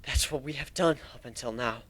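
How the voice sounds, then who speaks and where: A man's voice: medium pitch, brisk, a bit bright, close to an everyday voice. A man voicing a captive female insectoid shapeshifter drone, frightened but defiant, in a strained voice for a dramatic story reading. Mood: resigned, explaining.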